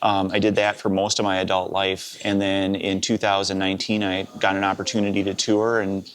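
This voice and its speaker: A man in his fifties with a Wisconsin accent, a live sound engineer telling his story in a relaxed, rambling interview. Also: low voice, fairly quick. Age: 50s. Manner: relaxed, rambling, with many "uh" and "you know".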